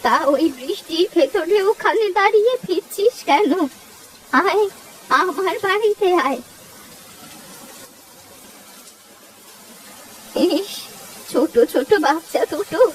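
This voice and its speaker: A woman's voice: extremely high pitch, distant mic, a little hiss or hum, poor audio. A woman voicing a kind, motherly old village granny in a Bengali moral cartoon, homely and exclamatory. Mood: concerned.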